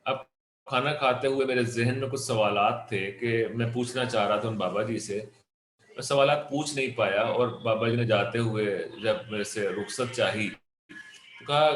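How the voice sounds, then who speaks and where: A man with a Pakistani accent lectures as a motivational speaker in a room with strong echo. His voice sits at a medium pitch. He puts questions to his listeners and repeats key phrases to drive them home. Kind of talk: interactive motivational lecture mixing Urdu and English. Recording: echoey room.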